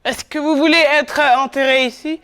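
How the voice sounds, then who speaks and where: A woman performing a poetic theatre monologue on stage, incantatory, moving between whispers and loud declamation. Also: high-pitched, steady tone, normal speed.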